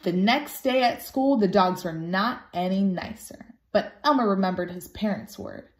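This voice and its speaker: A woman reading a children's picture book aloud expressively and deliberately, as a storytime teacher. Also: mid-range voice. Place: small room at home, indoors. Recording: roomy home recording.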